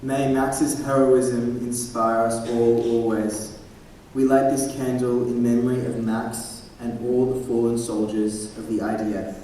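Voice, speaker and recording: A man reading a memorial tribute in a solemn, measured way at a school assembly. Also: mid-range voice, level tone, mellow, a little hiss or hum. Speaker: assembly presenter. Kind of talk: scripted memorial narration. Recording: echoey hall from far off.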